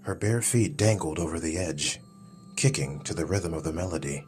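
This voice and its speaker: A man's voice: low voice, normal speed, darkish tone, soft background music. A man reading scripted fantasy audiobook narration as the storyteller.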